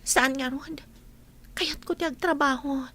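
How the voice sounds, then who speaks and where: A woman's voice: high-pitched, fairly even intonation, unhurried, pushed and bright. A woman playing an earnest, hard-up woman eager for work in an audio drama, with a Filipino accent. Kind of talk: Ilocano audio drama acting.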